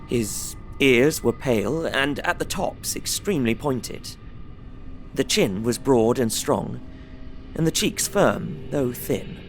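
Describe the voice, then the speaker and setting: An actor playing an earnest, uneasy young Englishman, narrating his diary in an audio drama with measured, formal, dramatic phrasing. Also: medium pitch, creaky.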